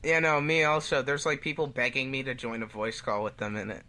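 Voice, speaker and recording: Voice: medium pitch, fairly even intonation, a little fast, very noisy. A person chatting casually and playfully, with some swearing. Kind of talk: casual chat.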